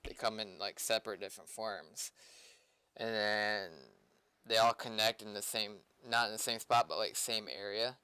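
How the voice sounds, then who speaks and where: Bright, crisp-voiced man chatting casually. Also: on the low side, steady tone, no room sound, rough recording. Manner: halting, rambling, sweary. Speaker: streamer doing game development. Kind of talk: casual unscripted stream chat.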